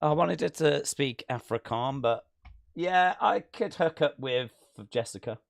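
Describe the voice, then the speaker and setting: A man co-hosting a podcast, chatting in an excitable, joking way. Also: on the high side, a little slow, creaky. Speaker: podcast co-host. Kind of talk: casual podcast banter.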